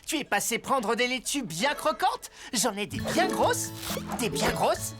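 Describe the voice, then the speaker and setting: Cheery cartoon farmer toy, high n bouncy voice, chatty, proud, music behind. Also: female voice, sing-song, unhurried. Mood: jolly, proud. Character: jolly friendly toy farmer. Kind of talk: French children's cartoon dub acting. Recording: children's cartoon music underneath.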